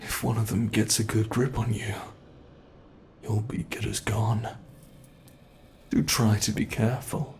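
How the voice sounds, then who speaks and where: A British man voices a predatory monster from under the bed, drawling each taunt with deliberate slowness. His voice is rough with fry, and it sinks to whispers as he toys with his prey. Faint noise hums beneath the recording. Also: on the low side, level tone. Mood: gleeful, predatory. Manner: slow, taunting and playful, dropping to whispers. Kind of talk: ASMR horror roleplay.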